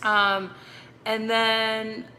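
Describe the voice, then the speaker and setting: A woman's voice: a little high, flat delivery, very slow, bright, forceful tone, rough recording. A woman with a slight Boston accent, chatting casually with viewers on a livestream from her home, rambling with lots of ums and restarts. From North Andover, Massachusetts. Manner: chatty, rambling, many ums and self-corrections. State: hot and sweaty. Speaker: medium hosting a livestream. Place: apartment with broken air conditioning.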